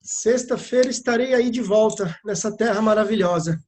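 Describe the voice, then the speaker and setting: A man's voice: high voice, moderate pace, darkish tone. A man teaching an online course, chatting cheerfully and joking as students join the video call. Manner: cheerful, jokey, welcoming. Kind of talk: casual chat before an online class.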